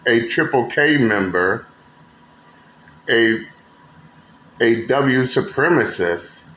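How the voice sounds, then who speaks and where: A man's voice: mid-range voice, a bit bright. A man hosting a commentary channel, talking bluntly and mockingly with profanity as he reacts to clips. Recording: echoey room with faint noise.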